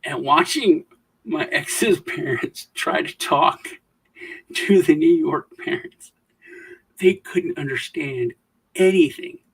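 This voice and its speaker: A man co-hosting a casual chat show, telling stories in a Southern American accent and jumping into high, loud voices when he mimics people. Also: takes it slowly, gravelly, slightly rough, rough recording. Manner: storytelling, mimics voices with high-pitched bursts. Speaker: podcast co-host.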